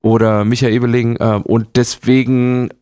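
This is a man in his forties who grew up in eastern Germany but speaks standard German. He is a stage performer talking casually and with humor as a guest. His talk is wordy and fast, and he breaks into his own sentences as he goes. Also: mid-range voice, flat delivery, mellow. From eastern Germany, Thuringia and Saxony. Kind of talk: casual humorous interview chat.

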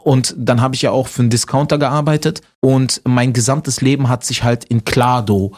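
Male comedian guest, average-pitched voice, colloquial and playfully mock-serious. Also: steady tone, moderate pace, darkish tone. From Berlin, Germany. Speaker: stand-up comedian, interview guest. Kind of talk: casual chat.

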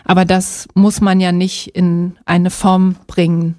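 A middle-aged woman from Berlin, a psychologist answering interview questions in a thoughtful, measured way with small pauses and soft laughs. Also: fairly low pitch, level tone, no room sound, studio quality. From Berlin, Germany.

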